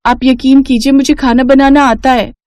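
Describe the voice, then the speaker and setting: A female storyteller plays a wronged young wife in a family melodrama. The voice is raised high, earnest and pleading. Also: flat delivery, moderate pace, a little mellow, dry recording, clean studio sound, close to an everyday voice. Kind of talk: dramatized Hindi moral story.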